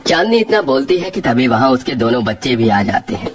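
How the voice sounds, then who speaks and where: A man's voice: mid-range voice, sing-song, brisk. A man narrating a scripted children's story.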